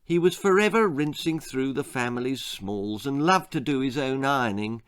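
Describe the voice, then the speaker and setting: A man with a British accent reading a children's story theatrically, relishing each word with dramatic pauses. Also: mid-range voice, animated, clear, resonant tone, dry recording, studio quality. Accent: British English. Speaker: author reading own story.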